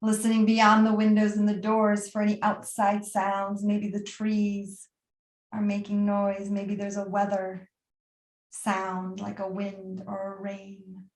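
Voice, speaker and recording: A woman teaching a guided yoga nidra meditation in a soothing, unhurried way, leaving pauses between trailing phrases. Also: medium pitch, monotone, reverberant.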